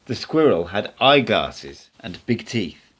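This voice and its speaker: A man reads scripted prose aloud as an audiobook narrator. His voice sits on the low side, and he delivers the text as a storyteller would. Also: very animated, takes it slowly, a bit bright. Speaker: amateur audiobook reader.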